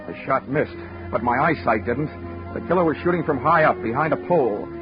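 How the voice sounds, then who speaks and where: A man's voice: medium pitch, lively intonation, fairly quick, natural voice. An American actor playing a cool, cocky, wisecracking male private investigator in 1940s radio drama, with a wry, punchy delivery. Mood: wry, tense. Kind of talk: 1940s radio detective narration and acting. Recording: muffled vintage radio recording with music.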